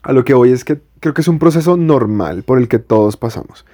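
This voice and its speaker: A young man from Bogotá co-hosts a podcast in a relaxed, easygoing chat. His voice is mellow and warm, with a Bogotá accent. He works through his thoughts out loud and often restarts. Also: medium pitch, fairly quick. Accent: Bogotá Colombian Spanish. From Colombia. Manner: reflective, explains at length with restarts. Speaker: podcast co-host. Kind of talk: casual chat.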